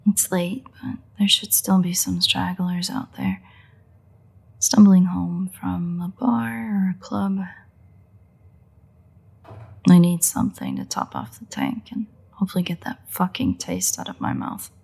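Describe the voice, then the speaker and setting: A sardonic female vampire played by a woman speaks close and intimately, as in a roleplay audio drama. Her voice is slightly low and breathy-husky, with plenty of gravelly creak. She is dry, teasing and mocking, with grudging exasperation and a crude edge. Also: sing-song, measured pace, heavily acted. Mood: weary, restless.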